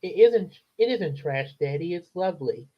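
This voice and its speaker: An earnest, bookish little girl in a storybook, read by a man in a soft, near-natural voice. She pleads gently and quietly. The recording has a dull, lo-fi tone. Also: fairly high pitch, expressive, normal speed. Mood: pleading.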